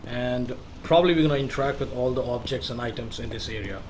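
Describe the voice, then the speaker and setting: A man's voice: mid-range voice, quick pace, background noise. A man giving casual, informal walkthrough commentary as a gameplay host, explaining as he goes.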